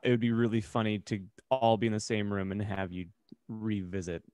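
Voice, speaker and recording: A man's voice: on the low side, level tone, fairly quick, darkish tone, dry recording, studio quality. A man co-hosting a podcast in a casual, teasing, conversational way. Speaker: podcast co-host. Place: indoor home room, remote video call.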